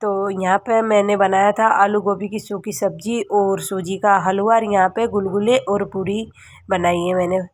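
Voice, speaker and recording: A woman in her thirties from Rajasthan, narrating her family vlog in a chatty, halting way, her voice hoarse from a cold. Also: mid-range voice, steady tone. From Rajasthan, India. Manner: chatty, halting, many filler sounds. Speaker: family vlogger.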